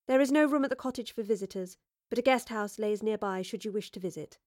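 A woman's voice: medium pitch, fast talker, a little mellow, no echo, studio quality, lightly acted. A woman voicing a prim, stern widow who speaks formally. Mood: dry.

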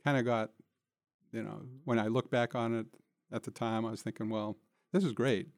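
A man's voice: mid-range voice, fairly even intonation, normal speed, dry recording. An older man answering interview questions as a guest in a conversational, reflective and plain-spoken way, recorded in a studio. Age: older, nearing retirement. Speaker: interview guest, city manager.

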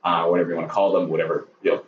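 A man in his twenties from Hong Kong speaks as a podcast guest, his low voice halting and full of restarts, with the odd dry joke. He sits well back from the mic in a roomy space, and the sound is dull and muffled. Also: level tone, recorded from a distance, crowd noise. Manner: halting, many restarts, joking. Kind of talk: casual interview chat. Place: home room with a dog.

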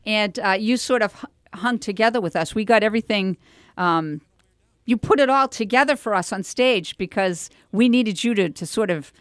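A woman's voice: mid-range voice, moderate pace, dry recording, clean studio sound. A woman hosting a chatty local radio show, talking informally in an effusive, exclaiming, encouraging way. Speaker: radio talk show host. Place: radio studio.

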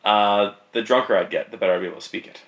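A man's voice: on the low side, racing, a bit bright, echoey room. A Canadian man hosting a podcast, chatting casually in a laid-back, rambling way and laughing often. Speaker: podcast host. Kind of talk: casual chat.

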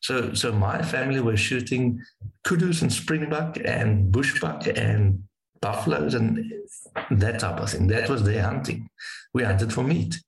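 A man from South Africa, a native Afrikaans speaker with a South African accent, telling stories in a casual interview, halting and repeating words as he goes. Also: mid-range voice, lively intonation, vocal fry, reverberant, low-quality recording. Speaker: wingshooting guide.

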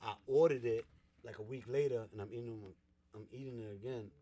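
A man's voice: mid-range voice, steady tone, a little fast, dark tone. A man co-hosting a casual podcast, laid-back and boastful as he tells crude stories. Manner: laid-back, boastful storytelling, breaks into laughter. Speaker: podcast co-host.

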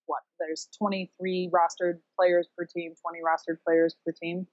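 A woman with a lowish voice chats casually as a podcast co-host, dropping wry asides as she goes. The sound is dry and close, with a slightly muffled, dull edge to the recording. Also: level tone, moderate pace, darkish tone, dry recording.

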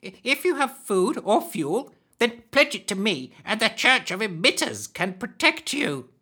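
A man's voice: sits high, big pitch swings, unhurried, bright, forceful tone, slightly rough, voice pushed up, full character voice. A man voicing a scruffy, greedy older woman who hisses her words, in a storybook reading. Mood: hissing. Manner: hissing, conspiratorial.

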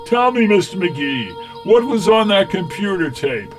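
A man's voice: high voice, big pitch swings, measured pace, music in the background, pitched above the performer's own voice. A man voicing a smug, cruel cult tyrant in an audiobook, speaking in a pompous, commanding and mockingly formal way. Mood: furious, threatening. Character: menacing male cult tyrant, smugly cruel, imperious. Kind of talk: theatrical audiobook villain.

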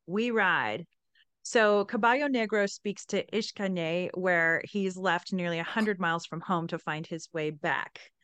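A woman podcast host narrates a story with a smooth, clean voice that sits a little low. She moves between scripted narration and casual talk, and the sound is close and dry. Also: measured pace, few pauses, a bit bright, dry recording.

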